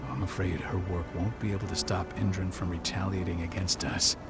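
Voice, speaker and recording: An actor playing a stern, weary pack leader and proud veteran warrior, grave and measured, in a video game cutscene. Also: male voice, deep voice, level tone. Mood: firm, proud. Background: cinematic background music.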